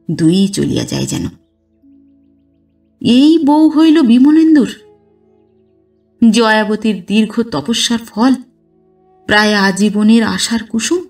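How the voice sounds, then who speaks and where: A woman reading a literary story aloud as a narrator, with expressive, dramatized delivery. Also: medium pitch, distant mic. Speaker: audiobook narrator. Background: background music.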